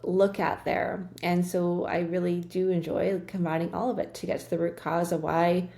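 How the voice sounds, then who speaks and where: A middle-aged woman talks as a guest in a relaxed interview, her voice set low with a gravelly edge. She speaks quickly and earnestly, restarting phrases as she thinks aloud. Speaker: guest, nutrition practitioner. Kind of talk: casual interview chat.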